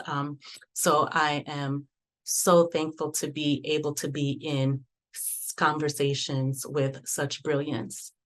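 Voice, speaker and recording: Low-voiced southern-raised woman on a panel, earnest, keeps restarting her thoughts. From American South. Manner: earnest, reflective, frequent restarts. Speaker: panelist and community arts researcher. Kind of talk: panel discussion.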